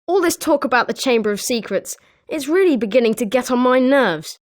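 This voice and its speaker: A woman voicing an excitable young British schoolboy wizard in a video game, with animated scripted delivery. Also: sits high, brisk. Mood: chatty. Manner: animated, expressive.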